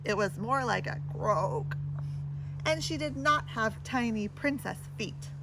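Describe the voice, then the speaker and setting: A woman's voice: on the high side, animated, slow, noisy background. A woman reading a children's picture book aloud with theatrical, dramatic emphasis. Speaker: storybook reader.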